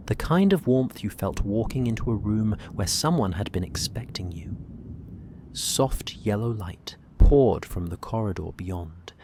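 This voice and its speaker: A narrator reading a soothing bedtime story in a hushed, gentle voice with soft pauses. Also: medium pitch, noisy background. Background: soft fantasy ambience.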